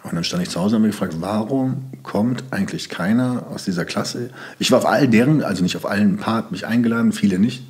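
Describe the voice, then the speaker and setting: Rambling, low-voiced man. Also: fast talker, hardly any pauses, darkish tone. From Germany (Sauerland). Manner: loose, rambling sentences, plenty of fillers. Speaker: podcast guest. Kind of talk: casual interview talk.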